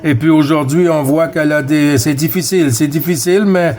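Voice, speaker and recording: A man's voice: fairly high pitch, steady tone, fairly quick, a little mellow. A man with a Québécois French accent working as a CB radio operator, trading callsigns in a casual, friendly way. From Quebec, Canada. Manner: friendly, addresses others as friend.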